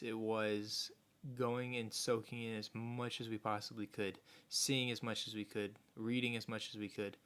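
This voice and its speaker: A man from the United States hosting a podcast, explaining things in a relaxed, rambling way. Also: on the low side, clear, resonant tone. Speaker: podcast host. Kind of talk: casual chat.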